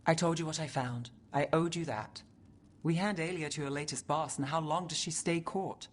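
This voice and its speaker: An actress playing a guarded, wry, driven detective with a dry, clipped delivery in a game scene. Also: female voice, low-pitched, normal speed. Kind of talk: cinematic video game acting.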